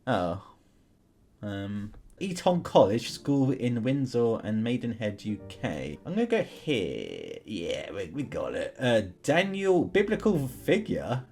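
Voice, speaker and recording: A young man hosts a gaming video in a casual, chatty way. His mid-pitched voice moves up and down animatedly as he thinks out loud, with music playing behind him. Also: huge pitch swings, slow, vocal fry. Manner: chatty, informal, thinking aloud. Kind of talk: casual gameplay commentary.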